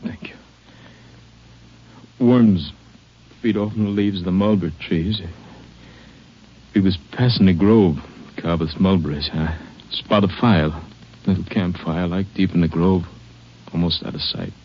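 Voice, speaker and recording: An actor playing a middle-aged wounded deputy grieving his son, speaking haltingly and weakly in a 1950s radio drama. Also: sits low, husky. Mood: haunted, halting. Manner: halting, folksy, weakened delivery. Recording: muffled old radio recording.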